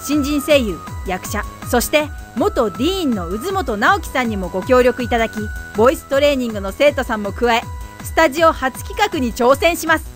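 A woman's voice: sits high, animated, moderate pace. A woman reading a scripted promotional announcement for an event. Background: background music.

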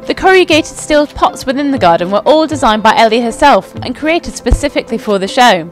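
A woman's voice: a little high, expressive, brisk, soft background music. A young woman giving an upbeat, scripted voice-over narration for a company video. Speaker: company presenter. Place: outdoors at a flower show.

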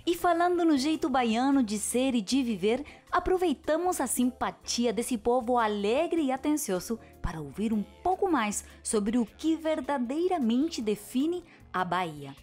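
Woman narrating a lively travel show. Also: high-pitched, unhurried, soft background music. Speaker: presenter. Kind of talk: scripted narration.

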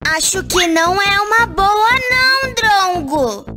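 A fussy little boy creature from a children's cartoon. The voice is tiny and extremely high, scolding in slow, exclamatory phrases. Prominent children's music plays behind it. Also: animated, heavily acted. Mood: chatty.

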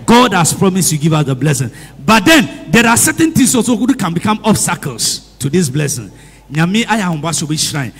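Priest, lively high preaching voice, gravelly edge, long church reverb, calls for amens. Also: male voice, fairly quick. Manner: repeats phrases and asks the congregation questions. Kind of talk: sermon. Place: church hall.

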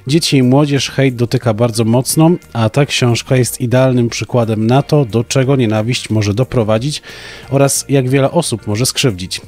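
A man's voice: medium pitch, fairly even intonation, moderate pace, darkish tone. A young man co-hosting a podcast with scripted book recommendations. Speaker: podcast co-host.

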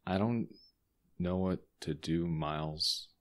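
A man voicing a grieving teenage boy who speaks low, subdued and halting. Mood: numb grief.

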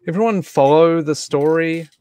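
A man hosting a casual live online group chat, thinking aloud in a halting way. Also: fairly high pitch, warm tone, smooth, no echo. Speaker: host of a live online table read. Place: indoor room on a group video call.

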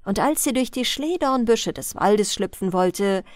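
A woman reads a bedtime fairy tale at an unhurried pace, her high voice rising and falling in a lively, expressive way. The sound is close and completely dry, like a studio mic. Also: dry recording. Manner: gentle, expressive storytelling. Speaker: audiobook narrator.

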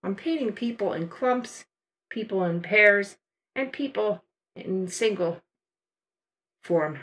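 An older woman narrating a watercolor painting demonstration as a voice-over, explaining each step in a relaxed, unhurried way. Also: mid-range voice. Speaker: watercolor art teacher.

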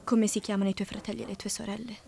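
A woman dubbing a resolute young woman in love in a period film drama, sorrowful, soft and measured. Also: medium pitch, little pitch movement, vocal fry, lightly acted. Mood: grave, hushed. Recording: fan dub with audible background noise.

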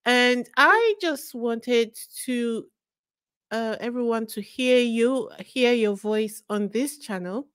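Woman host, high voice, slow pace, encouraging, laughs often, dry sound. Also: a bit bright, clear, resonant tone, dry recording. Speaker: livestream host. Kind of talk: casual interview chat. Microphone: video call.

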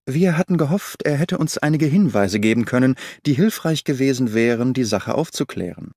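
A man's voice: mid-range voice, expressive, normal speed, darkish tone, no room sound, close to an everyday voice. A man reading the part of a distraught middle-aged brother in an audiobook, formal and strained, in a dry recording.

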